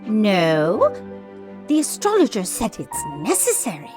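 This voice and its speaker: A woman's voice: mid-range voice, wildly swooping pitch, measured pace, over music. A woman playing a solemn, pompous mystical seer in a comedic audio drama, speaking in a portentous, ceremonial way. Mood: solemn, instructing.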